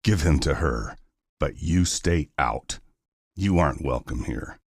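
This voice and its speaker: A woman voicing an enraged, cruel middle-aged werewolf father in an audiobook, growling harsh commands in a rough, gravelly voice. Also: extremely low pitch, expressive, slow pace, darkish tone, dry recording, clean studio sound, pitched below the performer's own voice, full character voice. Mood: cold, stern.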